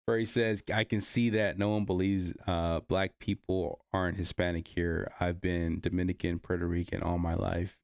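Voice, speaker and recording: A man's voice: low voice, little pitch movement, moderate pace, vocal fry, dry recording, clean studio sound. A man from the Bay Area, hosting a casual livestream, reading chat and rambling with many fillers and restarts. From Bay Area, California.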